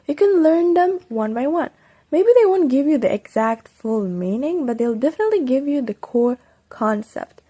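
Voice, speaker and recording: A woman's voice: expressive, moderate pace. A young woman whose first language is Persian, giving casual, upbeat tips on studying the Quran. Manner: upbeat and chatty, with sudden bright lifts in pitch. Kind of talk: casual instructional vlog.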